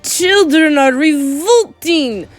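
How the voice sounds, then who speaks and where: A woman's voice: deep voice, soft background music. A woman voicing a tyrannical, venomous witch in a children's audiobook, screeching and thundering her commands. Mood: screaming disgust. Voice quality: screeching, harsh. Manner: thunderous, drawn-out, commanding, broken foreign-style grammar. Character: tyrannical, venomous Grand High Witch, female villain.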